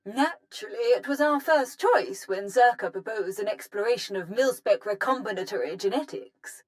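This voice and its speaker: An actress playing a bookish, apologetic research scientist in a video game, with precise academic wording. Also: female voice, mid-range voice, lively intonation, normal speed, natural voice. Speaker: scientist character. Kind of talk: video game cutscene acting.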